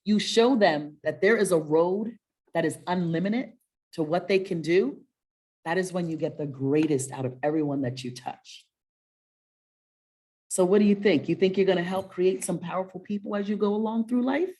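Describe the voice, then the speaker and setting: A woman co-hosting a children's story webinar, chatting casually in a warm, encouraging, motivational way and often checking in with questions. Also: fairly low pitch, moderate pace.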